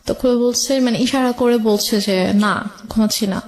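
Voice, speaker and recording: A woman's voice: fairly high pitch, normal speed, a little mellow. A woman with a Bangladeshi accent, a guest recounting her own life story in conversation.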